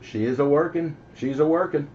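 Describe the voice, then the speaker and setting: A man's voice: fairly high pitch, normal speed. A middle-aged man chatting casually and in a folksy, easygoing way as host over CB radio. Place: home radio base station. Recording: dull, noisy CB radio audio.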